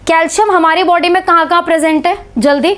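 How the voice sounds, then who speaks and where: A high-pitched woman in her thirties gives an online biology lecture in a small studio. Her voice is a touch hoarse from a sore throat, and she keeps throwing questions at her students and nudging them to answer. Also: brisk, slight background noise. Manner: animated, asks students questions, calls them beta. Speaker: biology teacher. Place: small indoor studio before a slide board.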